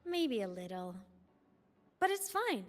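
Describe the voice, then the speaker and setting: A woman voicing a young ghost girl in a video game, a former student who speaks gently, with a wistful and teasing manner. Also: fairly high pitch, big pitch swings, slow.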